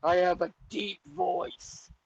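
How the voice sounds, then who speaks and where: A mocking high falsetto of a young woman who insists her voice is deep, as a man puts her on. She speaks slowly and teasingly. The sound is muffled and set back from the mic. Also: big pitch swings, gravelly, husky, distant mic, full character voice. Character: wrestler's daughter, woman with mock deep voice.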